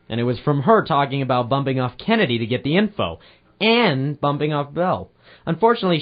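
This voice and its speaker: A man's voice: on the high side, lightly acted. A man playing a hard-boiled, world-weary private eye in an audio drama, narrating in a wry noir style. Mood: wry, regretful. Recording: dull lo-fi home recording, background hiss.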